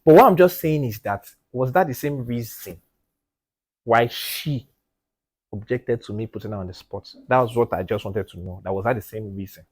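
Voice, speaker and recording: A man co-hosting a casual podcast discussion. He talks in an animated, emphatic way, keeps asking "do you understand?", and drops to a low, quiet voice when he presses a point. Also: moderate pace. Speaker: podcast co-host. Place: podcast studio.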